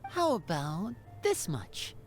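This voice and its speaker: A woman's voice: on the low side, huge pitch swings, slow pace, slight background noise, heavily acted. A woman playing a courteous, composed adventurer with a formal, polite manner in a tabletop roleplay.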